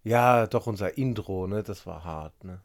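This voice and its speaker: A man's voice: fairly low pitch, measured pace. A man co-hosting a casual podcast, joking and laughing often and trailing off mid-sentence. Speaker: podcast co-host. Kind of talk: casual chat.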